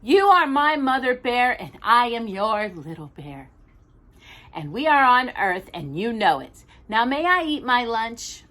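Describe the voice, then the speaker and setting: A woman's voice: a little high, sing-song, measured pace, bright, forceful tone, full character voice. A woman reading a children's storybook, voicing an imaginative, playful little boy bear cub who exclaims in wide-eyed earnest.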